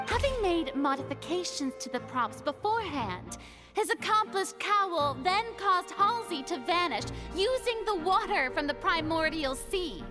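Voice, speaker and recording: An actress playing a haughty, theatrical young woman in a video game, delivering pompous, dramatic, drawn-out speech. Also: very high-pitched, expressive, music in the background. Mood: smug, theatrical.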